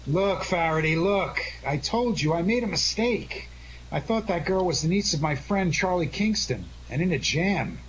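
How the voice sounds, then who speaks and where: A man's voice: high voice, expressive, normal speed, slight background noise, low-quality recording. A man playing a glib, wisecracking amateur sleuth in a 1940s-style radio detective drama, placating the others. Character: wisecracking, fast-thinking male amateur sleuth.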